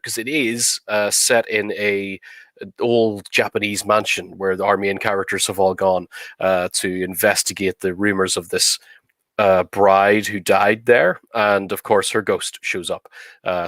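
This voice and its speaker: A man's voice: fairly low pitch, dry recording. A middle-aged man with a cold, hosting a live stream in casual chat with many fillers. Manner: relaxed, chatty, many fillers. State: has a cold. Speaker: livestream host.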